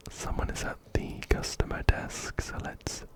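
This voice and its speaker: Male ASMR gamer, whispered throughout, breathy and unhurried, ear-to-ear close miking, repetitive soothing phrasing. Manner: unhurried, repeats words and phrases. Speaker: ASMR gaming video host. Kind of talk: ASMR let's play commentary. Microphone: close-up binaural microphone, ear to ear.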